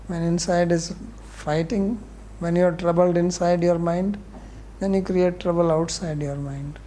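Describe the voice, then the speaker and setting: A man's voice: high-pitched, fairly even intonation, a little slow, dark tone, a little hiss or hum. A man with an Indian accent, a spiritual teacher answering questions in a scripture class in a blunt, explanatory way.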